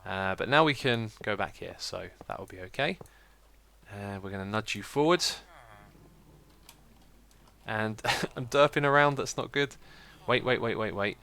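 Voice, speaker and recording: A British man giving casual, chatty gameplay commentary as a let's play host, with a slightly croaky voice and a self-mocking manner. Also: fairly low pitch, measured pace, sharp tone. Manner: chatty, self-mocking, laughs at own slips.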